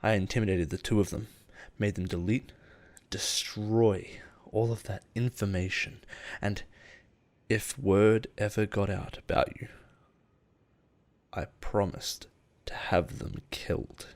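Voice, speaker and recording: A man playing an obsessive, possessive boss in love with his assistant, in an intimate audio roleplay with halting, pause-filled delivery. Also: lowish voice, steady tone, darkish tone. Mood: menacing, protective. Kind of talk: intimate dramatic roleplay monologue.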